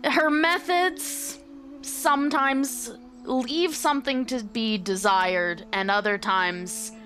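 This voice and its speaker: A woman's voice: on the high side, takes it slowly, sharp tone, faint music behind. A woman playing a tabletop game, bantering casually in a dry, understated way.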